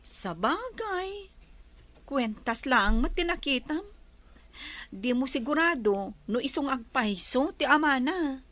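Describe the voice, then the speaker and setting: Nosy cousin, frank, high bright voice, radio drama. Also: female voice, sing-song, slow pace, no room sound, heavily acted. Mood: curious, frank. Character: frank, inquisitive female cousin and confidante. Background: music.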